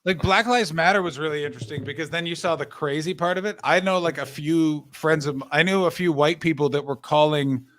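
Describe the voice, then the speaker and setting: A man from Canada telling stories in a casual chat, animated and acting out what people said. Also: a little high, fairly quick, few pauses, dry recording. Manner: animated storytelling, swearing, acts out quotes.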